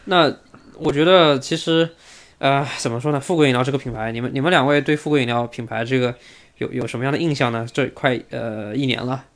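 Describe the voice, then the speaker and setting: A man's voice: medium pitch, fairly even intonation, a little fast. A man hosting a casual motorsport podcast discussion. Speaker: podcast host.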